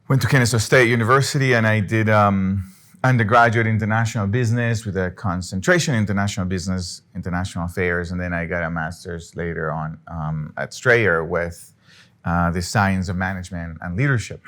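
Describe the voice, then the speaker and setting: A man's voice: on the low side, fairly bright tone. A middle-aged man from Colombia giving an earnest business talk to an audience, often restarting his phrases. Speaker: business speaker. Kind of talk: presentation to an audience.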